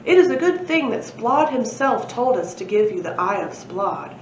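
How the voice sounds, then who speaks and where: A woman's voice: a little high, very animated, moderate pace. A woman voicing an anxious little thin man who gives solemn warnings in a storybook, in a roomy recording. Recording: roomy, dull lo-fi, faint noise.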